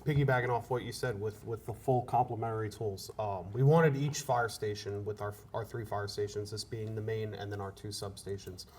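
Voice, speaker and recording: Male fire officer, slightly low voice, brisk casual delivery. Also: steady tone, a little mellow, slight background noise. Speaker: fire company officer being interviewed. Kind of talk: casual explanatory interview talk.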